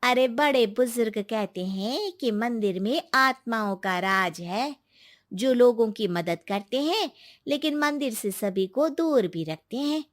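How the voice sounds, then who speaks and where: A woman's voice: fairly high pitch, lively intonation, a little slow. A woman playing a kind, reassuring village woman with secrets, in a colloquial cartoon moral-story style. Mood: explaining.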